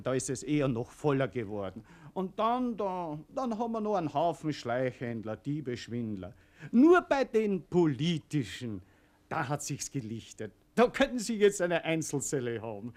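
A folksy, talkative Austrian prison warder. His voice sits somewhat high for a man and swings up and down freely. He sounds familiar and chatty, in radio-play style. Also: sing-song, normal speed. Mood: chatty, jovial. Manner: garrulous, folksy. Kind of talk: German radio play acting.

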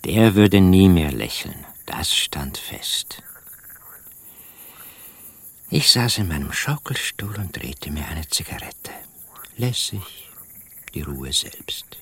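An actor playing a calm, brooding rural man who narrates an eerie radio drama in an unhurried, ominous, deliberate way. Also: low-pitched, no echo, exaggerated voice. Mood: quietly menacing. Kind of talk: radio drama narration, literary first person.

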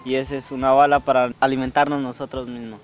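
A man from Colombia answering interview questions in a halting way, often restarting his words. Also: mid-range voice, level tone, muffled recording. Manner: halting, restarts words, sings a chant slowly.

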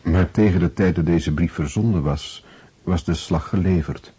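A man's voice: low-pitched, normal speed, mellow, breathy edge. A man narrating a war chronicle in a radio play, grave and factual.

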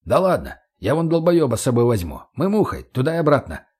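A man's voice: medium pitch, big pitch swings, a little fast, a little mellow, slightly rough. A man playing a big, crude, easygoing thug in an audiobook, casual, blunt and slangy.

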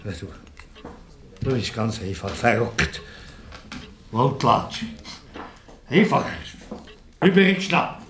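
Swiss German village innkeeper: excitable, greedy, barking curt orders, big pitch swings, slight background noise. Radio play. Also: male voice, slow, long pauses, full character voice. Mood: agitated. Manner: repeats questions in disbelief, curt orders. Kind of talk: German-language radio drama acting.

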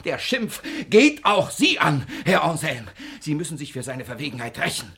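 An actor playing a miserly, hot-tempered old father in a radio comedy, raspy and sputtering as he repeats himself. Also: a little high, very animated, moderate pace, fairly bright tone, heavily acted. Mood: aggrieved. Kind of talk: classic stage comedy radio acting.

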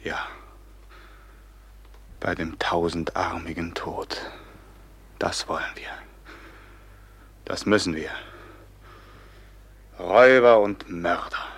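A man's voice: low voice, takes it slowly, pauses often, fairly bright tone, full character voice. An actor playing an anguished, betrayed young man turned grim robber captain, declaiming with passion in a classic German radio drama. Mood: grim resolve. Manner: declamatory, impassioned, bursting from whispers into cries.

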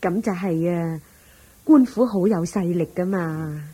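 A woman playing the gentle, concerned wife of a wealthy master in a Cantonese radio drama, attentive, with a Hong Kong accent. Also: lowish voice, a little slow, husky.

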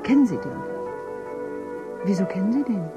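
A woman's voice: medium pitch, very animated, normal speed, muffled recording, over loud music, lightly acted. An actress playing a troubled woman who works as a paid conversation companion in a dystopian radio drama, talking compulsively. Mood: distraught, confessing. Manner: compulsive, rambling talk. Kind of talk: German radio drama acting.

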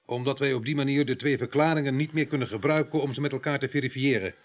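A man acts a police inspector in a radio crime drama. His pitch is average. He questions in a dry, matter-of-fact way, insistent and impatient with evasion. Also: fast, close to an everyday voice. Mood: probing. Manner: matter-of-fact, firm, probing.

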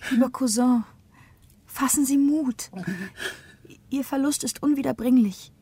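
An actress playing a gentle, earnest young daughter in a radio drama, soft and often half-whispering. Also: female voice, high-pitched, fairly even intonation, unhurried, breathy edge, exaggerated voice. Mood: tender. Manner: soft, tender, curious.

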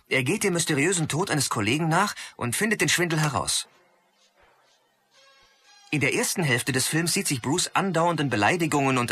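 An actor playing an enthusiastic film fan who retells martial arts movies in a radio play. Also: male voice, mid-range voice, bright, forceful tone.

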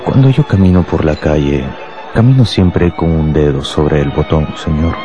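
An actor playing a smug, contemptuous anarchist bomb-maker in a radio drama, speaking deliberately. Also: male voice, very deep voice, muffled recording. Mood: menacing, boastful. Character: small shabby anarchist bomb-maker, fanatical, contemptuous, boastful. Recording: loud music behind the voice.

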